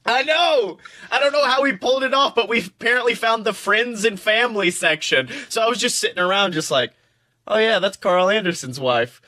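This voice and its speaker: A man with a high, bright voice co-hosts a casual comedy podcast. He tells stories in an animated, profane way, slipping into acted-out quotes as he goes. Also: brisk. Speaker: podcast co-host.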